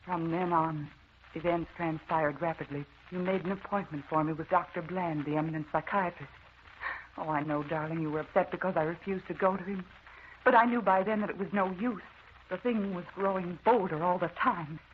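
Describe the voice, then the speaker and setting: An actress playing an anxious, haunted wife in a melodramatic old-time radio drama. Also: female voice, fairly low pitch, fairly quick, close to an everyday voice. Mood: haunted, brooding. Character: anxious, haunted wife recounting supernatural terrors. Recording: muffled, noisy old radio recording.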